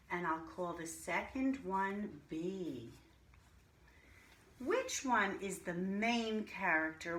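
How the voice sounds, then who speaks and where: A woman teacher reading and explaining to young children in simple, deliberate language with questions. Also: medium pitch, pushed and bright. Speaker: early-grade teacher reading a picture book aloud to children. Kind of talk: storybook read-aloud and children's lesson. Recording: roomy echo, clear background noise.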